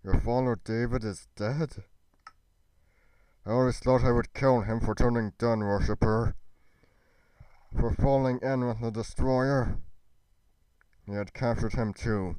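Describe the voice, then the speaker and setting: A man playing an earnest young fantasy adventurer in an amateur roleplay, with a solemn, deliberate delivery. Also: medium pitch, fairly even intonation, warm tone, clear, resonant tone, dry recording, natural voice, low-quality recording. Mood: grave.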